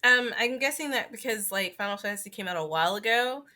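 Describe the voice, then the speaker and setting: A woman's voice: mid-range voice, lively intonation, quick pace, pushed and bright, a little hiss or hum. A woman podcast co-host in casual chat about games, informal and sweary, peppering her speech with "like".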